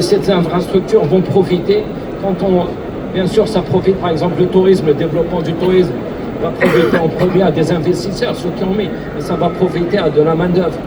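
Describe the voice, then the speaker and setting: A man speaking earnestly on a public panel, often restarting and repeating words. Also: high voice. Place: event hall. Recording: distant mic with loud background noise.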